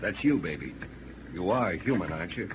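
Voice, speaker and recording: A man's voice: low-pitched, lively intonation, brisk. A man playing a cynical, needling, wisecracking newspaper reporter in 1950s radio drama style. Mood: taunting. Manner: sardonic, taunting. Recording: tinny noisy vintage radio recording.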